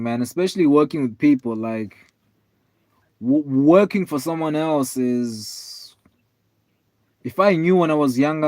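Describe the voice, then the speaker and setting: A man in his twenties, tired, chatting casually with viewers on a live stream in a relaxed, rambling way with lots of fillers. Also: medium pitch, dry recording. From South Africa. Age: 20s. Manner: laid-back, rambling, many fillers, calls viewers man and bro.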